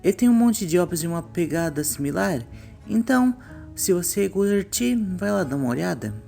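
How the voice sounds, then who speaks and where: Brazilian female host, theatrical storyteller, slightly low and very animated, for scripted horror commentary. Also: moderate pace, gravelly, faint music behind. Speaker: YouTube host.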